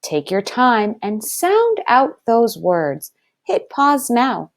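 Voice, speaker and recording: A woman teacher reading aloud to young children, enunciating deliberately and addressing them directly in an encouraging way. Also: a little high, big pitch swings. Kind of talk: slow read-aloud lesson for young children.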